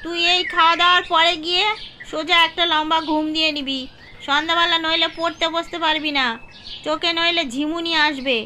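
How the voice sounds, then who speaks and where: A woman's voice: very high voice, fairly even intonation, brisk, bright, forceful tone, slight background noise. A woman voicing a strict, protective village mother in a cartoon, giving firm instructions and cautions. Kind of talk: cartoon horror story dialogue.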